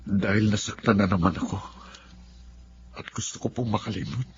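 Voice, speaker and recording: A man's voice: lowish voice, moderate pace, slightly rough. A man with a Filipino accent acting in a radio drama as an emotional, earnest husband and father haunted by past abuse. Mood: anguished. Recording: muffled lo-fi audio with music behind.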